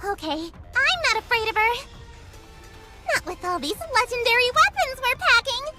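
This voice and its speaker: A woman's voice: very high-pitched, lively intonation, moderate pace. An actress playing a naive, boastful, childlike girl soldier in a video game, with a gushing, theatrical delivery. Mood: plucky. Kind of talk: English video game dub acting. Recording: music behind the voice.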